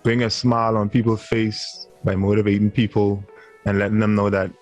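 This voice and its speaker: A man's voice: lowish voice, flat delivery, normal speed, a little mellow, soft background music. A man in his twenties with a Bahamian accent, talking earnestly as a guest on a video-call interview. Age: 20s. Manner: earnest, laughs easily. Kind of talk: casual interview chat.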